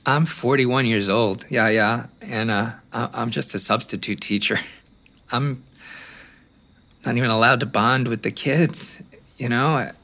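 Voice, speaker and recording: A man's voice: mid-range voice, unhurried, bright, forceful tone. An actor playing an insecure, pleading substitute teacher in his forties, hesitant and stammering, in a naturalistic audio drama. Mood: dejected. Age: 40s. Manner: hesitant, stammering, repeats himself. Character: insecure, pleading forty-one-year-old male substitute teacher.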